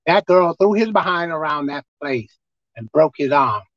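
A man with a squeaky voice preaching a sermon as pastor, emphatic and forceful. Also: expressive, normal speed, no echo, dull audio. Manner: emphatic, pitch leaping up and down.

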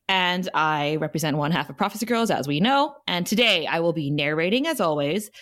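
A woman's voice: lowish voice, fairly bright tone. A woman hosting a podcast and narrating a table read in a casual, chatty style.

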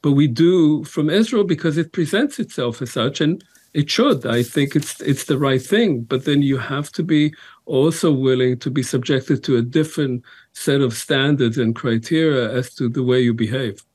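A man with a husky voice giving serious commentary as an interview guest. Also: medium pitch, moderate pace, a little mellow.

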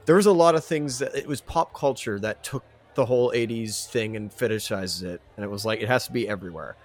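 A man's voice: on the low side, brisk. A man co-hosting a casual music discussion podcast, ranting with strong opinions and mild stand-in swear words. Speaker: podcast co-host.

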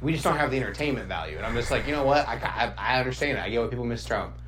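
A man's voice: mid-range voice, rapid-fire. Man bantering casually over noisy hum. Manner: animated storytelling, acting out quotes. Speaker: comedy podcast host. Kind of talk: casual, profane comedic banter.

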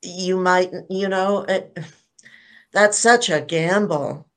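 An older woman speaking as a panelist in a casual online discussion, slow and thoughtful, often restarting her sentences. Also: on the low side, fairly bright tone, clean tone. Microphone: video call.

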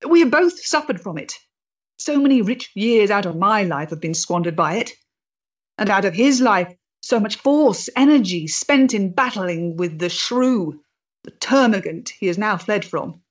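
An actress playing a composed, bitter, principled Victorian freethinking woman in a stage play, speaking in a measured, formal way. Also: mid-range voice, lively intonation, lo-fi sound. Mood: bitter, impassioned. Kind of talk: period stage-play acting.

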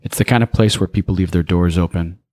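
An American man around forty, reflecting on his life as an interview guest in a measured, deliberate way. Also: low voice, darkish tone, dry recording. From United States, raised in small-town Pennsylvania. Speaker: podcast guest, speaker. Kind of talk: reflective personal storytelling in an interview.

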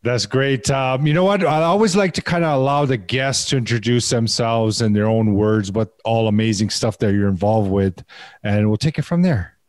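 Friendly Canadian podcast host. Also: male voice, mid-range voice, normal speed. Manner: friendly, repeats short affirmations. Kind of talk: casual interview chat.

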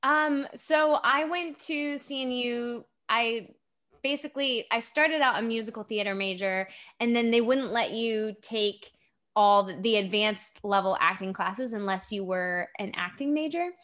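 Bright-voiced woman in her thirties, chatty. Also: a little high, a little slow. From Japan. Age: 30s. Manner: chatty and self-deprecating, laughs often. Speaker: guest, actor and filmmaker. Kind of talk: casual interview chat.

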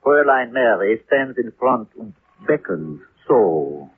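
An actor playing a polite, melancholy German police official in a 1950s radio drama, with a German accent and a formal, measured manner. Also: male voice, mid-range voice, very animated, vocal fry, slightly rough, full character voice. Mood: dry, resigned. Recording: tinny, hissy 1950s radio recording.

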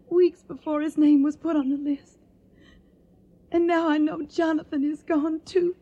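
A woman's voice: high voice, mellow, highs cut off. A woman playing an earnest, emotional young woman in a radio drama. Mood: grieving. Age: young adult. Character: earnest, tender young Southern woman in wartime.

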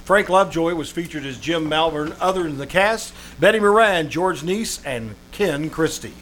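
A man's voice: fairly high pitch, lively intonation, moderate pace, a bit bright. A man acting as a formal 1950s network radio announcer, reading scripted credits.

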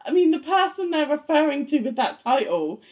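A woman's voice: high voice, very animated, a little fast, darkish tone. A woman co-hosting a podcast in casual chat, giggling often as she reads out facts. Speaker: podcast co-host.

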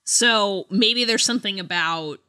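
A woman podcast co-host in casual book discussion, animated and giggly, often interrupting herself. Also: medium pitch, measured pace, clear, resonant tone, dry recording, studio quality.

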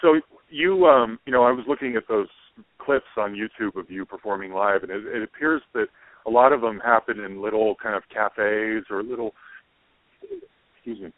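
A man's voice: fairly low pitch, moderate pace, no room sound. A man hosting a casual podcast interview, affirming his guest and asking roundabout, informal questions. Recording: narrow phone-like band, dry.

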